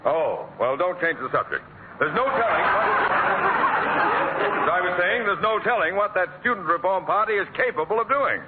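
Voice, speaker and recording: A pompous, blustering principal, barking orders with a pitch that leaps all over the place. His voice is set a touch high for a man. It comes through a tinny, hissy old radio broadcast. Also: lively intonation, moderate pace, a loud crowd. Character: blustering pompous middle-aged male school principal. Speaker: school principal. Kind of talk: 1940s radio comedy acting.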